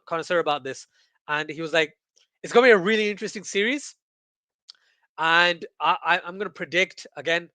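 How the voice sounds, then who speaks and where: A man originally from Pakistan hosting a casual cricket watchalong, reading viewer comments aloud and commenting excitably, often repeating phrases for emphasis. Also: sits high, dry recording. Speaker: livestream host and cricket commentator.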